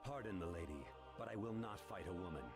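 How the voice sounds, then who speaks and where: Gravelly, chivalrous vampire hunter. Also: male voice, low-pitched, animated, fast, loud music behind the voice. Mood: stoic, courteous. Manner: courtly, restrained. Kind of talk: video game voice acting.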